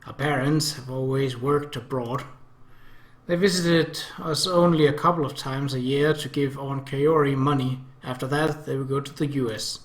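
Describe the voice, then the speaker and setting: A man's voice: mid-range voice, fairly even intonation, moderate pace, echoey room. A man reading visual novel narration aloud as a let's play host.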